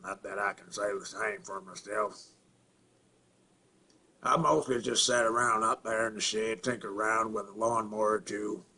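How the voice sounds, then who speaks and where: A man acting a simple-minded rural Southern man in a halting, plainspoken dramatic film monologue, with a throaty rasp and grunts. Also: on the low side, fairly even intonation, sharp tone, exaggerated voice. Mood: flat, matter-of-fact. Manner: halting, repetitive, plainspoken, folksy.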